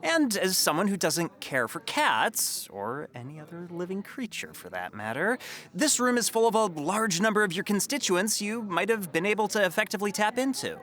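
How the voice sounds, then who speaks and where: A man's voice: high voice, big pitch swings, normal speed, a bit bright. A man playing an officious mayor's aide, dry, wordy and politely bossy, in a comedy audio drama.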